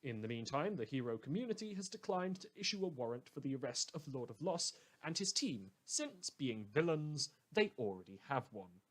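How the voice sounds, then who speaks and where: A man playing a florid, drily wry radio host who reads scripted news with dramatic, deliberate emphasis. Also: mid-range voice, very animated, poor audio. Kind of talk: scripted fictional community radio news monologue.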